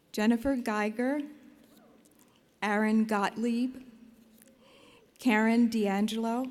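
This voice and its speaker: A woman presenting faculty at a graduation ceremony, reading names slowly and deliberately. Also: fairly high pitch, a little mellow. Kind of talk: ceremonial announcing. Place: large indoor arena.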